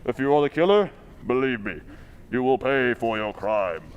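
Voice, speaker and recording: A man plays an unseen crime-fighting avenger in a radio play. He speaks in a medium-pitched voice, commanding and ominous, like a stern accuser. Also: animated, normal speed, dull audio, over loud music, close to an everyday voice. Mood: stern warning. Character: invisible male avenger, stern, knowing, accusing. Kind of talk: old-time radio drama acting.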